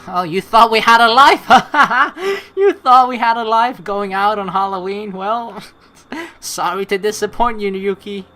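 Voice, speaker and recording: A woman's voice: medium pitch, measured pace, bright, forceful tone. A woman hosting a let's play, switching between reading game narration aloud and playful, teasing commentary.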